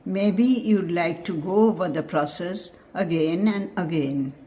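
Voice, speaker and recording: A woman leading a scripted guided meditation in a measured, soothing, instructive manner. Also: fairly low pitch, dark tone.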